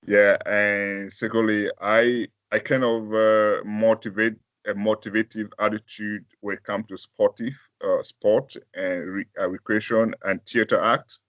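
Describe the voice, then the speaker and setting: Male pastor, from Africa, deep low voice, halting and full of restarts, dry, dull call audio. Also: flat delivery, fairly bright tone, no echo. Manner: halting, many fillers and restarts. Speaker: pastor and interview guest. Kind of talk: casual interview answers.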